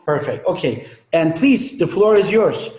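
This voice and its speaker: A man's voice: fairly high pitch, lively intonation, measured pace, darkish tone. A man introducing a guest lecturer to a classroom, talking loosely and correcting himself as he goes. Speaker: session organizer introducing speaker. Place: lecture room with tiered seats. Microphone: far room mic. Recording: echoey room from far off.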